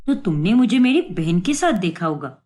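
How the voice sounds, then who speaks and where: A woman voicing an easygoing, affectionate young man with a casual, reassuring manner in an animated story. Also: medium pitch, normal speed, pitched below the performer's own voice, lightly acted. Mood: puzzled, agreeable. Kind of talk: animated moral story acting.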